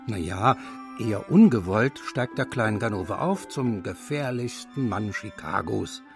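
A man narrating a scripted radio feature with a lively, slightly wry delivery. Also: on the low side, measured pace, faint music behind. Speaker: documentary narrator.

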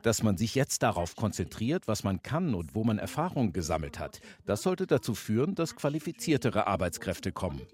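A man's voice: fairly low pitch, very animated, normal speed, few pauses, darkish tone. Man narrating a radio news report. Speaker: radio correspondent. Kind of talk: scripted news report with voice-over translation.

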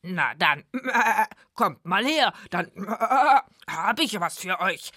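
A blustering horned goat-man who stammers and bleats his words, as a woman puts him on. The tone is bright and strained, with a breathy edge and a dry close sound. He is a rowdy storybook Christmas figure. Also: mid-range voice, animated, slow pace, dry recording, exaggerated voice. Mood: gruff.

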